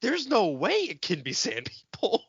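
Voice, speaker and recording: A man's voice: high voice, unhurried, creaky, husky, no echo, dull audio. A man co-hosting a fan podcast, bantering casually and picking at details with some swearing. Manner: nitpicky, sweary, animated. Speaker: podcast co-host.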